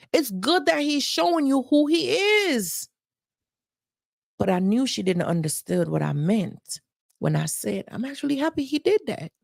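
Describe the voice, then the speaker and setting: A woman's voice: on the high side, darkish tone, dry recording, clean studio sound. A woman life coach giving advice on a livestream, emphatic and repeating points for stress.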